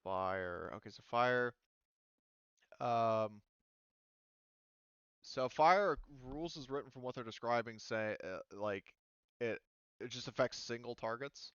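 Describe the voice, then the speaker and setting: A man's voice: mid-range voice, slow pace, pauses often, fairly bright tone, clear, resonant tone, dry recording, muffled recording. A man running a tabletop game as game master, talking casually and thinking aloud as he checks the rules.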